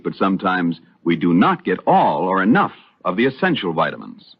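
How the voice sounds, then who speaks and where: A man's voice: fairly low pitch, slightly rough. An American man announcing and reading ads on mid-century radio, with a polished, emphatic sales delivery. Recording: dull, lo-fi vintage radio recording.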